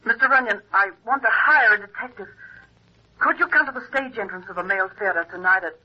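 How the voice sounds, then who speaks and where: An actress playing an anxious, self-important stage star, speaking with urgent insistence in a 1950s radio drama. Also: female voice, medium pitch, fast, breathy edge, lightly acted. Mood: anxious, insistent. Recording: muffled vintage radio telephone voice.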